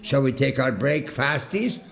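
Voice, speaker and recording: Creaky, halting eccentric alien ambassador. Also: male voice, mid-range voice, animated, fairly bright tone. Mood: evasive, musing. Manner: halting, rambling, theatrical. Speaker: voice actor. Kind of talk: science fiction audio drama acting.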